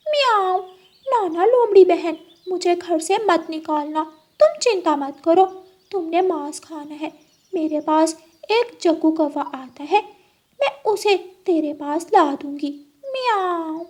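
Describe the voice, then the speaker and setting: Sly female cat for kids' cartoon played by a woman: very high pitch, coaxing, falsely sweet. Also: expressive, takes it slowly, a little mellow, heavily acted. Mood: pleading, scheming. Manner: coaxing, pleading, punctuated with meows. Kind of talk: children's animal cartoon voice acting.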